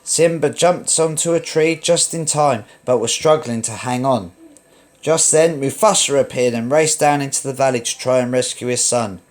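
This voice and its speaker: A man reading a children's story aloud as narrator, in a dramatic, expressive read-along style. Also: medium pitch, moderate pace.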